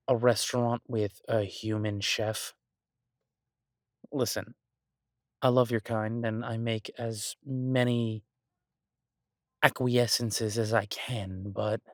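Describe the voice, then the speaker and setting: A man's voice: medium pitch, dry recording, studio quality, close to an everyday voice. Exasperated but affectionate boyfriend chef, acted by a man. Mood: exasperated, bemused. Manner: ranting, incredulous, emphatic with repeated words. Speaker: audio roleplay performer. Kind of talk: boyfriend roleplay audio, conversational monologue to a listener.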